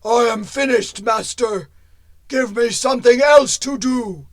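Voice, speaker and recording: A woman voicing a hulking stone golem servant in a storybook reading, with a loud, slow, insistent growl. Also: a little high. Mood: flat, demanding.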